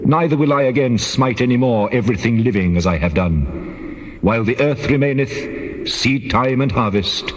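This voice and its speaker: A man voicing God in a dramatized scripture reading, solemn and commanding, making measured, declamatory decrees. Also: mid-range voice, lively intonation, close to an everyday voice. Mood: solemn resolve. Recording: cavernous echo with long reverb.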